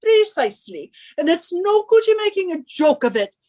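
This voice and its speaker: Woman acting a shrill, high-pitched bossy wife. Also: very animated, moderate pace, a crowd in the background. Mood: scornful, commanding. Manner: imperious, scolding, exacting. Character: bossy, scornful, henpecking British middle-aged wife. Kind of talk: radio suspense drama acting.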